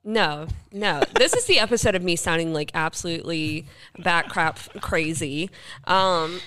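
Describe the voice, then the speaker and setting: Female co-host, lowish and clear tone, casual conversational delivery, pokes fun at herself. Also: animated, measured pace, fairly bright tone. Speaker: podcast co-host. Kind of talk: casual chat.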